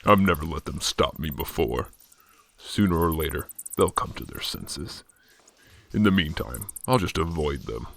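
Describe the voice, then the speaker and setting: A man's voice: very deep voice, lively intonation, unhurried, creaky, heavily acted. A man playing a stubborn, brooding masked vigilante in a radio drama, terse, grim and resolute. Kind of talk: radio drama acting and first-person narration.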